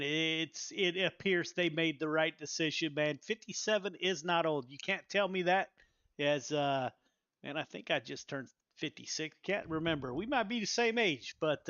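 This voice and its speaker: Bright-voiced enthusiastic man, lo-fi sound. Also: on the high side, a little slow, no echo. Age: 50s. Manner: chatty, enthusiastic, folksy. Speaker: race broadcast host. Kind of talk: sim racing commentary and interview.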